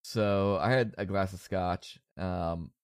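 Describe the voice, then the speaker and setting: A man with a lowish, stuffy, nasal voice hosts a laid-back podcast, rambling and poking fun at himself. He is recorded dry and close, with no room sound. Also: fairly even intonation, slow pace, clear, resonant tone, no echo. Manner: rambling, self-deprecating, joking. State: stuffy with a cold. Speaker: podcast host. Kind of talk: casual podcast chat.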